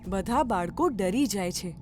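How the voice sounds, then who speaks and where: A woman's voice: mid-range voice, lively intonation, a little slow, faint music behind. A woman narrating a scripted children's story as the storyteller.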